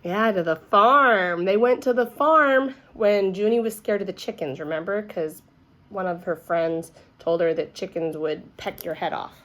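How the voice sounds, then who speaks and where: A woman reads a children's storybook aloud in a mid-range voice. She is expressive and engaged, and speaks directly to her young listeners with questions and asides. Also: medium pitch, normal speed. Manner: animated, asks listeners questions. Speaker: read-aloud teacher.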